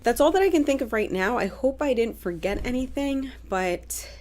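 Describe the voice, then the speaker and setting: A woman's voice: fairly high pitch, lively intonation, normal speed, darkish tone. A young woman with a stuffy nose from a cold, chatting casually in a vlog update with frequent fillers and restarts. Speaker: vlogger.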